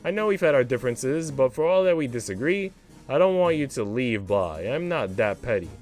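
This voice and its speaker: Visual novel role: condescending senior maid, female, prim and cutting, very animated intonation, played by a man. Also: mid-range voice, a little fast, soft background music, close to an everyday voice. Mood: smugly disdainful. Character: haughty, cruel senior English maid, a woman.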